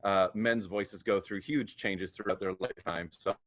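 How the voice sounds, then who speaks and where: Singer and vocal coach, low male voice, big and booming, quick to laugh. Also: steady tone, fairly bright tone. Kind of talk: casual interview answers.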